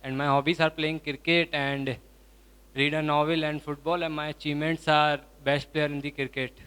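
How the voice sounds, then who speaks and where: A young man with an Indian accent reciting a short self-introduction in a flat way. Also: a little high, normal speed, slight background noise. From Varanasi, India. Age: young adult.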